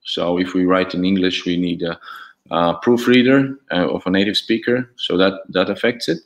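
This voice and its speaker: A young man whose first language is Latvian, speaking English as a guest on a video call with a headset, candid and halting with many restarts. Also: sits low, steady tone. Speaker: guest, e-learning template developer. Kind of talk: casual video call chat.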